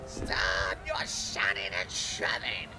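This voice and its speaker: An actor playing a scrawny, scolding older man with a raspy voice in an audio drama. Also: deep voice, steady tone, slow, low-quality recording. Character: scrawny older Hylian man shouting down a crowd. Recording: music behind the voice.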